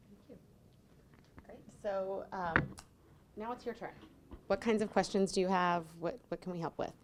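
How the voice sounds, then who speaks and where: A woman's voice: mid-range voice, moderate pace, noisy background. A woman speaking as an admissions moderator, giving welcoming remarks and inviting questions. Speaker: admissions director moderating a student panel.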